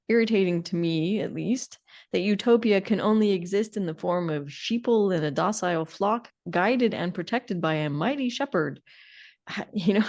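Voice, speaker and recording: A woman's voice: lowish voice, darkish tone. Middle-aged woman, wryly opinionated podcast co-host. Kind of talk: conversational podcast discussion.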